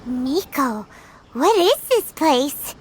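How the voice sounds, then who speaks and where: Squeaky childlike fairy, extremely high, swooping intonation, fretful, excitable, game character. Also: female voice, very slow, slight background noise, full character voice, poor audio. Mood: uneasy. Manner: fretful, exclaiming, naive. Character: tiny childlike fairy companion, anxious, excitable, third-person talker. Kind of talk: video game voice acting.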